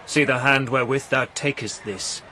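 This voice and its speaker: A man's voice: medium pitch, fairly even intonation, moderate pace, pushed and bright, a little hiss or hum, voice pushed up, natural voice. A man voicing a grave, languid knight who speaks in archaic, reproachful verse. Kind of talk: dramatised verse storytelling.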